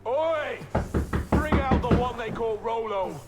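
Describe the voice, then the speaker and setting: An actor playing a bullying Saxon king's soldier, calling out gruffly in a video game. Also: very high voice, slow pace. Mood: menacing.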